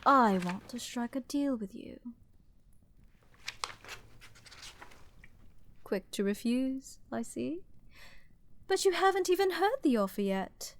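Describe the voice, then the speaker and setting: A vain, theatrical princess in an intimate fantasy audio roleplay. Her voice is fairly high and she lingers very slowly over ornate phrases, teasing and flirting with regal disdain. The sound is close and dry. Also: no echo. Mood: sly, flirtatious. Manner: haughty, grandiose, playful aristocratic drawl with ornate diction. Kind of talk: scripted dramatic ASMR roleplay addressed to the listener.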